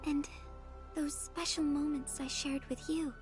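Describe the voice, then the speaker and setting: A woman playing a gentle, wistful young courier in a video game, speaking softly and breathily with a tender, reflective manner. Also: high-pitched, level tone, unhurried, soft background music. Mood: warm, earnest. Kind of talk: video game story dialogue.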